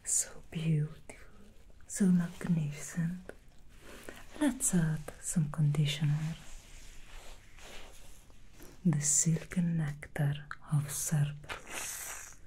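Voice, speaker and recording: A woman voicing a seductive female demon hairstylist in an ASMR roleplay, whispering softly close to the mic with slow, soothing reassurances. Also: low voice, level tone. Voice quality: soft whisper. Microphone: close sensitive ASMR mic.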